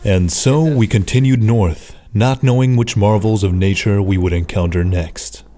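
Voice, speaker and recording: A man giving scripted, measured documentary narration. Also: fairly low pitch, dark tone, slight background noise. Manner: measured, informative. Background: background music.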